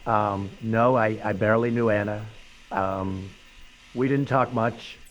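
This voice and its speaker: A man playing an evasive suspect who gives halting, hesitant denials in an acted interrogation recording. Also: on the low side, fairly even intonation, slight background noise, natural voice, poor audio. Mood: evasive, uneasy. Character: evasive male suspect answering police questions.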